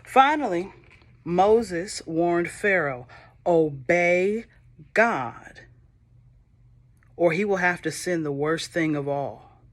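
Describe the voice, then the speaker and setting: A woman reading a children's Bible storybook aloud, slow and expressive, with soft whispered moments and playful asides to young listeners. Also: lowish voice. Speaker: storybook reader.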